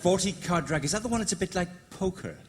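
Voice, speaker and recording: A man's voice: sits high, lively intonation, quick pace, big-room sound, a crowd in the background. An actor playing a hapless, bewildered card player, hesitant and apologetic with his friends in comic sketch dialogue.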